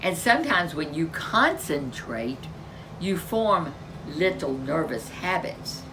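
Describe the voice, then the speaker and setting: A woman storyteller reading a children's picture book aloud, expressively, with drawn-out emphasis and chatty asides. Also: low voice, sing-song, noisy background. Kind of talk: expressive read-aloud narration for young children.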